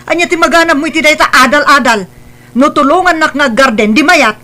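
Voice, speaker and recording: A woman playing a sharp-voiced scolding mother. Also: high-pitched, brisk. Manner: scolding and dismissive. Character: harsh, strict middle-aged mother.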